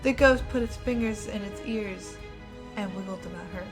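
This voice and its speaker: A woman co-host reading stories aloud dramatically, expressive and playful, often breaking off into casual asides. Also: medium pitch, moderate pace, soft background music. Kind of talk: dramatic story reading mixed with casual banter.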